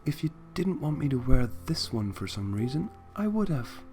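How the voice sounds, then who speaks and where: A man voicing a shy, infatuated young female cartoon pony, soft, hesitant and coy, in a story reading. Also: mid-range voice, big pitch swings, moderate pace, faint music behind, pitched above the performer's own voice, lightly acted. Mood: playful.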